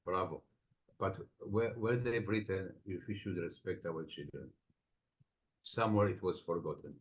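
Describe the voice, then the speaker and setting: A man with an Estonian accent, a psychotherapist answering interview questions thoughtfully, with many pauses. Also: low-pitched. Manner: reflective, explains with everyday examples, frequent pauses. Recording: dull lo-fi sound.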